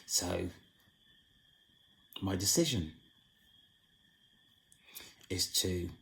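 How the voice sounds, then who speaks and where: A hoarse man hosting his own channel, giving a solemn spiritual monologue in a slow, halting way with long pauses. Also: low-pitched, expressive, a bit bright. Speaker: channel host.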